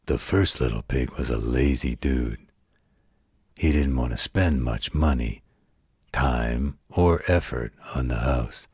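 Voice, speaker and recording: A man voicing a gruff, protective werewolf guardian in an intimate bedtime roleplay, coaxing with patient, mock-stern fondness. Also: slow pace, darkish tone, no echo, studio quality, heavily acted. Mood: wry storytelling. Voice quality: very deep, gravelly, husky. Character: gruff, protective werewolf guardian, fondly exasperated parental figure.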